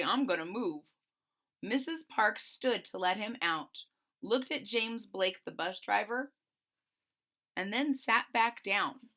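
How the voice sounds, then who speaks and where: Lady teacher reading a picture book out loud, bright voice, explains stuff as she goes. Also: mid-range voice. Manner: clear, explaining asides for children. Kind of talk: storybook read-aloud with explanations.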